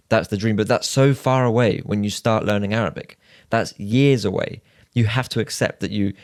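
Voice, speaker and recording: Low-voiced man giving structured advice. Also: a little mellow, dry recording, studio quality. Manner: structured, advising, lays out numbered points. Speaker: podcast co-host. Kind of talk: casual podcast discussion.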